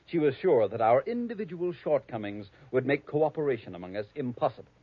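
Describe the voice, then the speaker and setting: Conniving pompous gentleman, precise formal phrasing, husky, vintage radio play, tinny narrow old recording. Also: mid-range voice, very animated, a little fast, dry recording. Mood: precise, superior. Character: prim, conniving, avaricious male heir. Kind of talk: 1940s radio acting.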